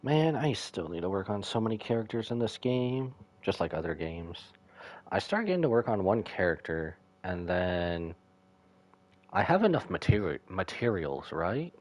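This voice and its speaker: A man's voice: low-pitched, big pitch swings, moderate pace, a little mellow. A man giving casual, wry commentary while playing through a video game. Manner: wry, offhand asides. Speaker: gaming video host. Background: game music.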